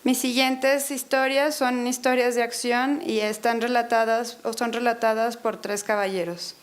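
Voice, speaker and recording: A woman interprets a lecture phrase by phrase in a university auditorium. Her voice is somewhat high, and she hesitates often, filling gaps and restarting words to correct herself. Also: little pitch movement, a little slow. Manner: hesitant, many fillers and self-corrections.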